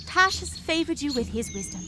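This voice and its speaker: A woman playing a gentle, devout rabbit healer mentor, earnest and pleading, in a fantasy video game. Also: high voice, big pitch swings, normal speed, noisy background. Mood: solemn pleading. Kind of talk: dramatic video game dialogue.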